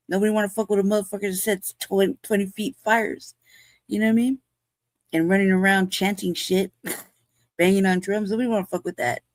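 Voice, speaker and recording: A woman's voice: mid-range voice, no room sound. A woman hosting a show, talking in blunt, profane, rambling commentary and laughing often. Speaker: show host.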